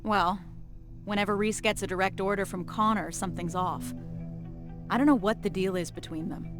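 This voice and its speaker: A woman's voice: mid-range voice, steady tone, normal speed, music in the background, lightly acted. A woman voicing a frank, casual young female resistance soldier in a video game. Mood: frustrated, confiding. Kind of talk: naturalistic video game acting.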